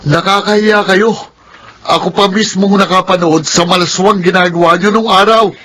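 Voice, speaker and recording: A shamed, embittered son with a high voice for a male. He accuses with raw emotion in classic radio drama style. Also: steady tone, moderate pace, sharp tone, slight background noise. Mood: bitter anger. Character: bitter, shamed grown son condemning his mother. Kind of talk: Filipino radio drama acting.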